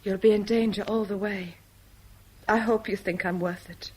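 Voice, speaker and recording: A woman's voice: mid-range voice, steady tone, normal speed, husky, close to an everyday voice. A woman playing an enigmatic, proud lover in a radio drama, with a cool, theatrical intensity. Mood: cool, challenging. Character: enigmatic, proud woman caught between two rival men. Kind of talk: 1970s British radio drama acting. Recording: faintly noisy vintage radio broadcast.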